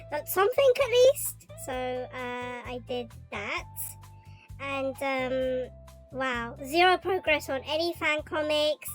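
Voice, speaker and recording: A young woman with a high, light voice chats casually while streaming her art, her throat still slightly sore from a recent cough. She rambles and hesitates, with lots of ums and restarts. Soft music plays faintly underneath. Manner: rambling and hesitant, with many fillers. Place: bedroom at home.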